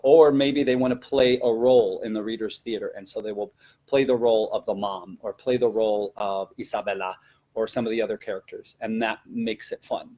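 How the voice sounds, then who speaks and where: A man's voice: mid-range voice, a little mellow. A man who teaches elementary language classes, giving informal, encouraging and conversational advice in an instructional webinar.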